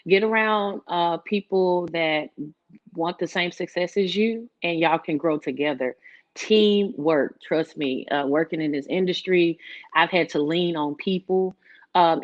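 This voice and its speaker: A woman's voice: lowish voice, highs cut off. A woman from Memphis speaking as a panelist, giving earnest, encouraging advice in a conversational way. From Memphis, USA. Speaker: panelist, record label manager.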